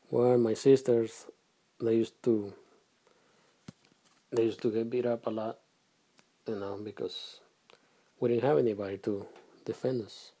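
A middle-aged man answers questions in a family interview. His voice is deep, dark and mellow, and his pitch sits in the middle. He speaks haltingly and softly, pausing and restarting his sentences. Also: steady tone, breathy edge. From Guatemala. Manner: halting, hesitant, soft-spoken, with pauses and restarts. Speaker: interviewee, father.

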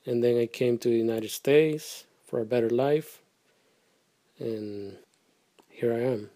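A middle-aged man answers questions in a family interview. His voice is deep, dark and mellow, and his pitch sits in the middle. He speaks haltingly and softly, pausing and restarting his sentences. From Guatemala. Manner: halting, hesitant, soft-spoken, with pauses and restarts. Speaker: interviewee, father.

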